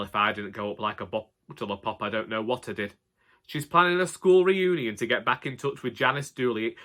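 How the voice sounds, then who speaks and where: A man's voice: mid-range voice, pushed and bright. A man playing a henpecked older husband with a Northern English accent, telling comic tales in a wry, exasperated, colloquial way. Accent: Northern English, Cheshire. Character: grumbling henpecked old Northern husband, dryly comic storyteller. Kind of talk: comic dramatic monologue narration.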